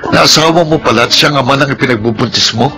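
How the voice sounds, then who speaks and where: A man's voice: medium pitch, fast talker, over music, natural voice. A man playing an indignant, accusing character in a Filipino radio drama. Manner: accusing, blunt. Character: indignant man confronting a deceitful woman.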